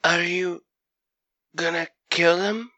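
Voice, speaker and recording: A performer voicing a young furry creature boy in an audio drama, earnest and curious, with halting, rustic speech. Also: medium pitch, fairly even intonation, sharp tone, no room sound, pitched above the performer's own voice. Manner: halting, rustic grammar, questioning.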